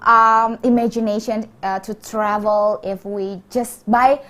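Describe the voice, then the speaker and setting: Woman, Indonesian accent, highish voice, unhurried pace, hesitant phrasing, casual event co-host. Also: fairly even intonation, fairly bright tone. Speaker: co-host of an online storytelling festival. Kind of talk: casual unscripted co-hosting chat.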